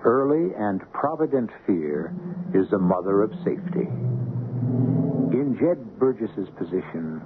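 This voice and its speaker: An American man hosting a radio mystery series, narrating from a script in a measured, portentous, theatrical way. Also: fairly low pitch, big pitch swings, background noise. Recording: tinny 1970s radio broadcast, music behind.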